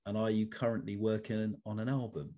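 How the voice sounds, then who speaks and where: A man acts as interviewer with a somewhat low voice. He delivers long, prepared questions in a measured and rather formal manner. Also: dark tone. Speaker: interviewer, host.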